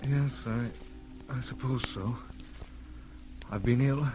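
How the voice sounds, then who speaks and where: An actor playing a weak, confused sick man in an old radio drama, speaking faintly and haltingly. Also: medium pitch, lightly acted, poor audio. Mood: dazed. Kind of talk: 1930s-40s radio drama acting. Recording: old radio transcription with music.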